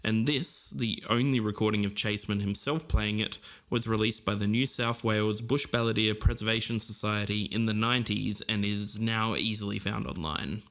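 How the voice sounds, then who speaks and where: A man's voice: lowish voice, fairly even intonation, moderate pace, few pauses, a bit bright. A man co-hosting a podcast, narrating from loosely scripted notes. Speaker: podcast co-host.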